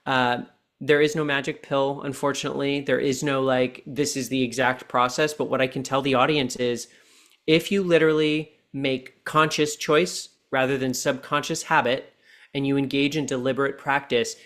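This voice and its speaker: Earnest guy in his 40s on a podcast, slow and deliberate on the big points. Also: medium pitch, little pitch movement, fairly bright tone. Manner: deliberate, earnest coaching tone, slows down for key points. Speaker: podcast guest, identity coach. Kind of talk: reflective podcast conversation.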